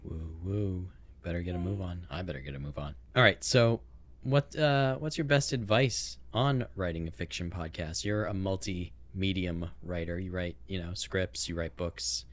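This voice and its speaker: A man's voice: sits low, moderate pace, no room sound. A man co-hosting a podcast in a casual, playful style. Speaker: podcast co-host.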